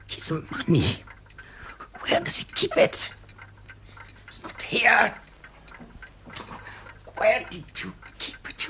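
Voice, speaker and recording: An actor playing a desperate, self-justifying murderer in a radio drama, brooding theatrically with sudden nervy outbursts. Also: male voice, high voice, vocal fry, fairly bright tone, slight background noise, full character voice. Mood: grim, hushed, then nervous, searching.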